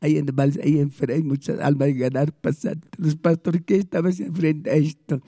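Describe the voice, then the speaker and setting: A man's voice: on the high side, little pitch movement, brisk, mellow, no echo, clean studio sound. A man with a Peruvian Spanish accent praying aloud as a pastor, pleading fervently with repeated invocations. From Peru. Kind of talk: fervent spoken prayer.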